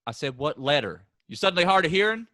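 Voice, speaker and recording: A man reading the part of a gruff, scornful middle-aged farmer father, quick to anger. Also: a little high, big pitch swings, bright, forceful tone, no echo, clean studio sound. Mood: angry. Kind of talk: staged play reading.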